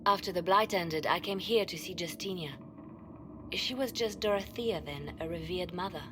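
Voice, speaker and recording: An actress playing a poised, devout and steely spymaster in a video game cutscene, speaking in a controlled, deliberate way. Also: female voice, fairly low pitch, natural voice. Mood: wistful. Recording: music behind the voice.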